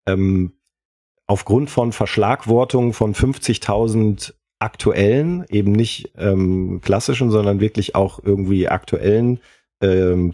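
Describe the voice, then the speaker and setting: A man's voice: on the low side, mellow. A man co-hosting a podcast, chatting casually and often correcting himself as he explains. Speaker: podcast co-host.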